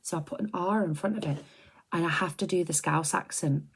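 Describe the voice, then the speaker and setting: A middle-aged woman, chatting softly and rambling on a close mic for an ASMR video, often slipping into whispers. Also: lowish voice, fairly quick, vocal fry, husky. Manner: soft-spoken, drifting into whispers, chatty and rambling. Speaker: ASMR creator. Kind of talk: soft-spoken ASMR chat.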